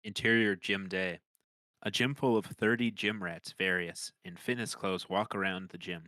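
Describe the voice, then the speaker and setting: A man's voice: low-pitched, fairly even intonation, moderate pace, bright, forceful tone, no room sound. A man reading scene headings and action lines as scripted narration at a table read. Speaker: podcaster reading stage directions.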